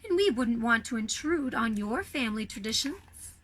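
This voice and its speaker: A woman voicing a kindly, modest old grandfather villager in a storybook, gentle and courteous. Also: fairly high pitch, sing-song, moderate pace, voice pushed down. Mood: polite, apologetic.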